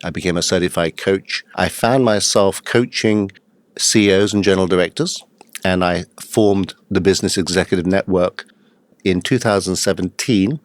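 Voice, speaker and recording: A man in his fifties, a guest in a podcast interview, telling his story in a steady, matter-of-fact way with the odd hesitant "uh.". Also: low voice, normal speed, no room sound. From England. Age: 50s. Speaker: guest, executive coach.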